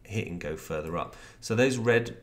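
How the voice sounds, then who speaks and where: A man's voice: fairly low pitch, expressive, normal speed. A man with a West Country accent, a game designer explaining mechanics and history in casual chat while a game is played, often restarting his sentences. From partly Devon, England. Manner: explanatory, chatty, with frequent restarts. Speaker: creative director and game designer guest.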